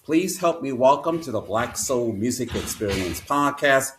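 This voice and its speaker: A man's voice: medium pitch, expressive, a little slow, fairly bright tone. A man hosting a podcast interview, speaking in a formal, announcer-like way. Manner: formal, announcer-style introductions. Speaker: podcast host.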